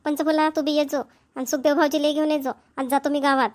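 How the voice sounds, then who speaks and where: A woman's voice: sits high, little pitch movement, quick pace, lightly acted. A woman voicing a chatty, practical village woman in a cartoon, in homely Vidarbha Marathi. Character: chatty, practical village women neighbours planning an engagement. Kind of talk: amateur cartoon dialogue.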